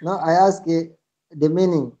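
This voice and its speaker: A man, speaking halting in a casual online chat. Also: a little high, steady tone, mellow. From Chad. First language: not English. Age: 20s. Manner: halting, searching for words. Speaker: student in an English practice chat. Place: online video call. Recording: muffled, noisy video-call audio with clicks.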